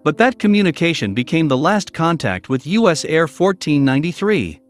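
A man reads a scripted documentary narration. His voice sits a little higher than most men's, and soft music plays behind him. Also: very animated, moderate pace.

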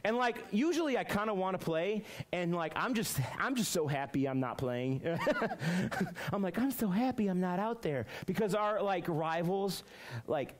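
Youth pastor, man, 30s, loud, lively storyteller, slightly high pitch, headset mic in a mid-sized room. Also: a little slow. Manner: loud, energetic storytelling, lots of fillers. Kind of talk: youth group talk. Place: church youth room, medium indoor.

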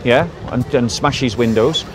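A man's voice: medium pitch, normal speed. Persistent man debating in a crowded park. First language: English. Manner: persistent, steers the conversation. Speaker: street debater. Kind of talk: public debate. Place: outdoors in a busy park. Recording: crowd noise outdoors.

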